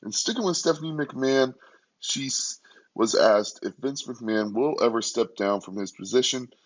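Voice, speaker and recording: A hoarse young man hosting a casual solo podcast from a hotel room, talking quietly and rambling. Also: medium pitch, normal speed, dull audio. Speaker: podcast host.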